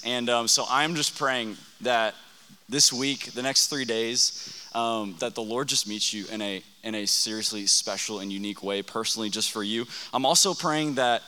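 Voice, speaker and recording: A young man preaching an energetic youth camp sermon, hyping up the crowd and calling for responses, his voice a bit worn. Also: mid-range voice, clear, resonant tone. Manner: hyped, calls for crowd responses. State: losing his voice. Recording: long hall reverb.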